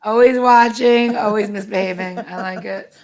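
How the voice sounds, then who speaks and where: A woman's voice: mid-range voice, fairly even intonation, moderate pace. A woman co-hosting a podcast, chatting casually in a playful, informal way. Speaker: podcast co-host. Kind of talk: casual chat.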